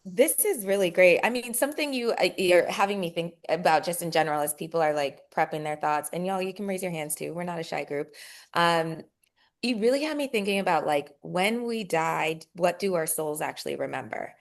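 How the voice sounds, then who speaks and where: A woman's voice: on the low side, expressive, fairly quick. A woman co-hosting a casual book club chat over a video call, speaking reflectively and addressing the audience as "y'all". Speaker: co-host.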